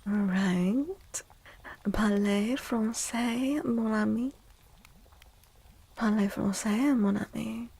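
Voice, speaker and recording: A woman speaking in soft, hushed, breathy ASMR style, often slipping into whispers, chatting playfully with livestream viewers. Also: a little high, extremely slow, pauses often. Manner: playful, teasing. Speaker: ASMR streamer and tarot reader.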